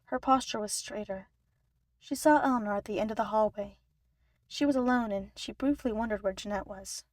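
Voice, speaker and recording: A woman's voice: mid-range voice, a little fast, no echo, studio quality. A woman reading scripted fiction aloud as a narrator.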